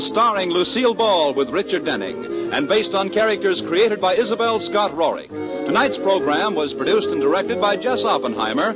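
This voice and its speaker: A man announcing in a scripted 1940s radio style, polished and emphatic. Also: sits high. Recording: muffled old radio broadcast recording. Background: music.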